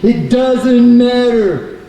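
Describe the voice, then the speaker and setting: Guy doing a mean show-off lion for a kids puppet show, singing real slow, big echoey room. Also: extremely high pitch, creaky, mellow, voice pushed up, exaggerated voice. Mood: smug boasting. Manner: drawn-out boastful singing. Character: vain, mean lion king singing boasts. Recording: echoey hall, distant mic, faint noise.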